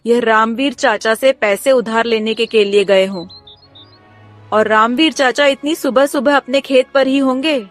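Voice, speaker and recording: A woman voicing a sharp-witted, scolding village wife in a cartoon story. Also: a little high, measured pace, soft background music, natural voice. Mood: suspicious. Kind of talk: Hindi cartoon story dialogue.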